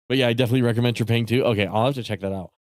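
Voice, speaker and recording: A man chatting casually with viewers on a live stream, rambling and swearing with lots of fillers, his voice starting to go raspy. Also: medium pitch, dry recording, studio quality. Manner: rambling, profane, many fillers and restarts. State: voice getting raspy.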